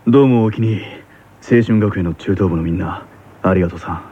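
An actor playing a casual, teasing teenage tennis rival with a Kansai accent and a husky voice, in a radio drama. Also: male voice, sits low, fairly quick, muffled recording. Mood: pleased. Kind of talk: scripted comic radio drama.

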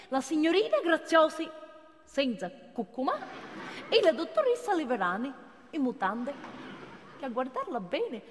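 An actress playing a naive working-class woman who narrates events with clumsy, deferential formality in a comic stage piece. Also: high voice. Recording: reverberant theatre hall.

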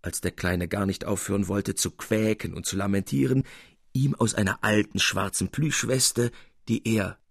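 A man reading a literary tale as narrator, in an expressive audiobook style. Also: lowish voice, moderate pace, vocal fry, dry recording, clean studio sound.

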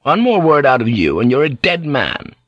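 A man's voice: mid-range voice, huge pitch swings, moderate pace, no room sound, lo-fi sound, pitched above the performer's own voice. Man playing a curt, commanding ship's pilot. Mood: commanding, testy. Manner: curt, forceful orders. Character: commanding, short-tempered English male ship's pilot.

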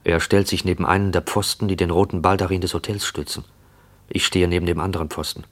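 A low male voice tells a radio play from the inside. An actor plays a haunted, watchful man, brooding and reflective as he narrates his thoughts. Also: little pitch movement, fast, natural voice. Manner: brooding, reflective inner monologue.

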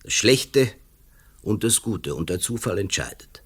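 An intellectual writer with a deep voice. He philosophises slowly and emphatically, brooding and faintly malicious, in radio play style. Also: big pitch swings, fairly bright tone, breathy edge, exaggerated voice. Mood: intense, philosophical.

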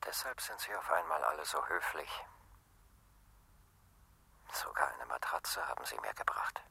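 Radio drama: tired, gentle husband, low gravelly voice, hushed and intimate. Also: male voice, expressive, moderate pace, heavily acted. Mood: weary, quiet. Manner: hushed, breath-heavy near-whisper. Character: weary, gentle preacher husband, reluctant hero.

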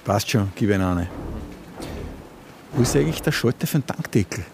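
An actor playing a domineering, condescending conspiracy believer turned kidnapper in a radio play, speaking colloquially with an Austrian accent. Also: male voice, fairly low pitch, lively intonation, moderate pace, vocal fry, background noise. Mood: gruff, bossy. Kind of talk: contemporary radio play acting.

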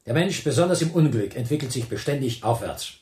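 A man's voice: medium pitch, lively intonation, a little fast, a little mellow, roomy sound, natural voice. A man acting in a German radio play as a submissive camp prisoner, giving clipped, dutiful replies with dry hidden irony. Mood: obedient, guarded.

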